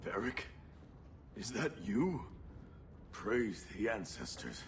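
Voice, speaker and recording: A man playing a frightened, haunted dwarf servant in a video game, speaking gravely and pleadingly. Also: on the low side, wildly swooping pitch, slow pace, slight background noise, exaggerated voice. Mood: fearful, pleading. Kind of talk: video game dramatic acting.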